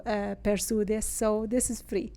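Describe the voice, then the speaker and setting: A woman from Syria with an Arabic accent, a native Arabic speaker, talking earnestly and haltingly as a guest in a conversational interview. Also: mid-range voice, little pitch movement, mellow. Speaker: interview guest, real estate agent.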